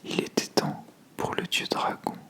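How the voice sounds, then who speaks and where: A storyteller narrating a fable in a hushed, measured ASMR whisper.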